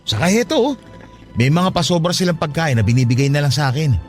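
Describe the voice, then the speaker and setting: A man's voice: on the high side, very animated, quick pace, faint music behind. A man playing a defensive, exasperated poor father with a brusque, argumentative manner in a radio drama. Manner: brusque, argumentative, self-justifying. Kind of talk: radio drama acting in Tagalog.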